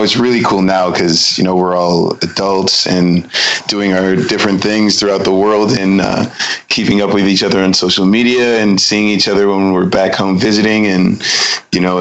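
A deep-voiced man from the States speaks as an interview guest, keeping his pitch low and nearly level throughout. He talks in a measured, relaxed way, pausing and filling gaps with small fillers as he thinks. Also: poor audio. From United States. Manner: measured, unhurried, with frequent fillers. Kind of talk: casual interview conversation.

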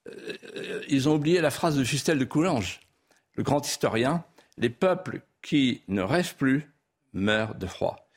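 A man's voice: mid-range voice, animated, a little slow, breathy edge. A man whose first language was a regional patois, a guest author in a studio interview, speaking in a lyrical, sweeping way that builds to emphatic peaks. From France. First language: Vendée patois.

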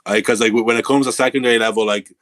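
A young Irish man co-hosting a casual podcast chat, excitable, with lots of "like" and swearing. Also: mid-range voice, racing, no echo. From Ireland. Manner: excitable, swears, says "like" and "boys" a lot. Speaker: podcast co-host.

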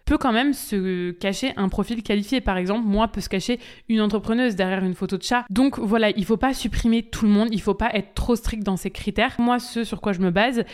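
A young woman speaks in a smooth, clear voice at a middle pitch. She gives spontaneous, direct answers on a casual solo podcast and fills her talk with hesitations. She addresses listeners like a business coach. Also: brisk, hardly any pauses, a little mellow. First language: French. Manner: chatty, direct, many fillers, talks straight to listeners. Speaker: podcast host, social media business coach.

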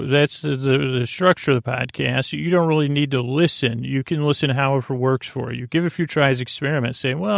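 A man hosting a sleep podcast with a meandering, halting bedtime monologue, gently whimsical and reassuring, often drifting into tangents. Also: medium pitch, creaky, no room sound, clean studio sound.

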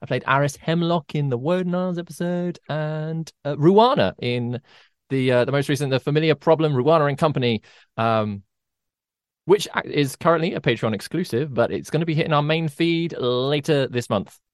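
A man's voice: a little high, moderate pace, clean tone, no room sound, clean studio sound. A man who runs the game co-hosts a tabletop game podcast, chatting casually with lots of fillers and playful teasing. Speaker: dungeon master and podcast co-host.

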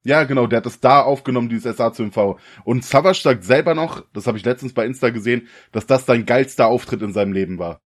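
A young man from the co-hosting a casual podcast, slangy and relaxed, often laughing. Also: mid-range voice, quick pace, few pauses. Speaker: podcast co-host. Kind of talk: casual chat.

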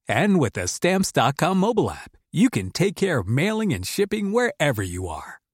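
A man's voice: a little high, husky, dry recording, clean studio sound. A man delivering a scripted business advertisement. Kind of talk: scripted ad read.

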